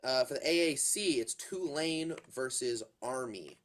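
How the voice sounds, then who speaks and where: A man's voice: medium pitch, lively intonation, measured pace, a crowd in the background. A congested man co-hosting a casual college football podcast, rambling with plenty of fillers and restarts. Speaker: podcast co-host. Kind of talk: casual sports chat.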